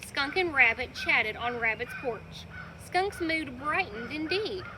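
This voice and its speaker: A woman's voice: high voice, expressive, takes it slowly. A woman reading scripted narration as storyteller for a children's puppet show. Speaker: storyteller, library staff puppeteer. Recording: clear background noise.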